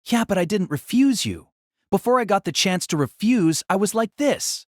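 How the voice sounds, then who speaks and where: A woman's voice: medium pitch, animated, normal speed, no echo, studio quality, natural voice. A woman playing a polite, bewildered teenage boy student in an audio drama, hesitant and questioning. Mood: puzzled. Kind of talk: anime-style audio drama acting.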